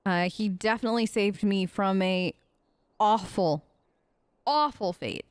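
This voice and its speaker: A woman's voice: mid-range voice, fairly even intonation, measured pace, smooth, no room sound, studio quality. A woman hosting a gaming video, casually role-playing her character's side of the dialogue in a chatty, conversational way.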